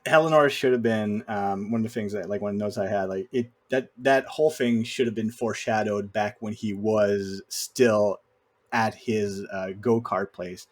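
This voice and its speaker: A man's voice: on the low side, moderate pace. A man in casual film discussion, speaking in a wry, opinionated way. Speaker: podcast guest co-host.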